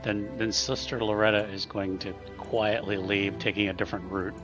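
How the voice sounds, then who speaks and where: A man playing a tabletop game, calmly describing what his character does. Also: on the low side, moderate pace, dull audio, over music. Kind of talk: casual chat while playing.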